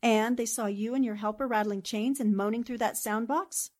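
A woman acting a plucky, quick-witted newspaperwoman in a 1940s-style radio drama, earnest and emphatic. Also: a little high, fairly even intonation, darkish tone, close to an everyday voice. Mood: defiant, tense.

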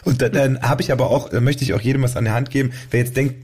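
Playful male podcast host, slightly husky. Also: medium pitch, steady tone, quick pace, darkish tone. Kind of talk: casual comedic podcast banter.

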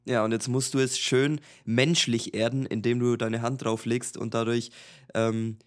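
A young man with a clear Franconian lilt co-hosts a podcast in an easygoing, chatty way. His voice sits at an ordinary middle pitch and he laughs often between remarks. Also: a little slow. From Franconia, Germany. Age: young adult. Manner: relaxed, chatty, laughs easily. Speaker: podcast co-host. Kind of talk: casual podcast chat over video call. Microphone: home podcast mic over video call.

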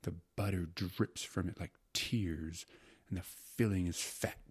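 A man voicing a gluttonous, syrupy court secretary in a storybook reading, breathy and savoring every word as he wheedles and repeats himself. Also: low voice, steady tone, measured pace, no echo. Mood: hushed, sensual rapture. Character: gluttonous, syrupy male court secretary rhapsodizing about food.

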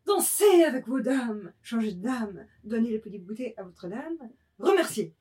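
A woman's voice: a little high, big pitch swings, a little fast, dark tone, breathy edge, full character voice. A woman playing a dancing master who calls out quadrille figures in French during a literary reading. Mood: brisk, commanding.

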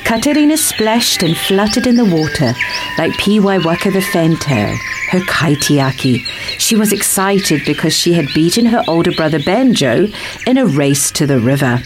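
Woman reading a storybook, lower-pitched voice. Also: animated, moderate pace, over music. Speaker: reader of a picture book. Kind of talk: scripted storybook narration.

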